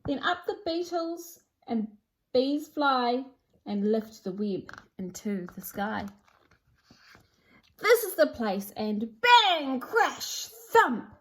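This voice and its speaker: A woman's voice: high-pitched, sing-song, drawn-out words, fairly bright tone, poor audio. A woman presenting and reading a children's picture book aloud in a theatrical, sing-song rhyming delivery. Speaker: storybook reader and presenter. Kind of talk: expressive children's read-aloud.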